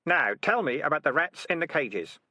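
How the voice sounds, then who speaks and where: A man voicing a calm, sardonic young male character in a storybook, questioning in a measured, pointed, dry manner. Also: on the high side, no echo, pitched above the performer's own voice, full character voice. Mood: calm, menacing.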